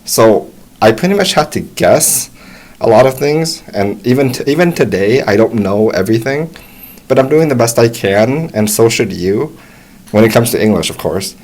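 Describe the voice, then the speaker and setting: A young American man giving relaxed, encouraging advice to English learners, talking casually with frequent restarts. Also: mid-range voice, normal speed. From United States. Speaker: English tutor. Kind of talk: casual talk to camera.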